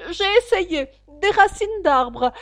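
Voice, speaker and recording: A woman voicing an anxious, shrill female pigeon guarding her eggs, shrieking and scornful. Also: expressive, slow, exaggerated voice. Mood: aggrieved.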